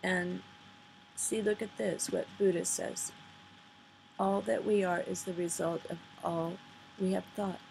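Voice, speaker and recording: A woman giving an informal, earnest spoken commentary, pausing between phrases and sometimes reading aloud, on a noisy amateur recording. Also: lowish voice, little pitch movement, creaky, darkish tone. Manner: earnest, halting delivery with pauses between phrases. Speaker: vlogger. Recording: noisy amateur recording, poor sound quality.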